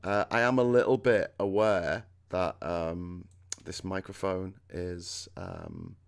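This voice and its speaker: A middle-aged man with a Scouse accent, hosting a casual chat in a loud, excitable way and laughing often. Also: deep voice, slow, gravelly, smooth. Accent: Liverpool (Scouse). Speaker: host.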